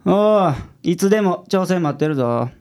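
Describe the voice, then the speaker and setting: A woman's voice: fairly low pitch, animated, moderate pace, a little mellow, close to an everyday voice. A woman playing a blunt, self-assured male detective with a Kansai accent in a radio drama. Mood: cocky. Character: gruff, cocky, unflappable male private detective.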